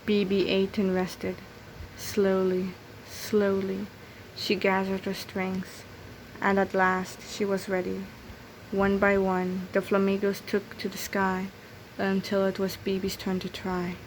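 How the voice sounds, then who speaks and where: A woman reading a children's picture book aloud in an expressive storytelling manner. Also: medium pitch, takes it slowly, a little hiss or hum, poor audio. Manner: expressive storytelling with acted character voices. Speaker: storybook reader.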